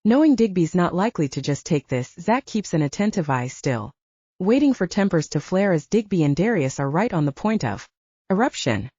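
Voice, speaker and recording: A woman narrates a dramatic audiobook in a mellow, lowish voice. Her pitch moves in a lively way, and the recording is dry and slightly dull. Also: normal speed, slightly rough, dry recording. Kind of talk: scripted dramatic fiction narration.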